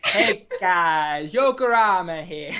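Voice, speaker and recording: A woman's voice: on the low side, expressive, slow pace, sharp tone, smooth. A woman co-hosting a casual online podcast, joking and mocking herself, talking over a computer mic on a video call. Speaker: podcast co-host. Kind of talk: casual chat.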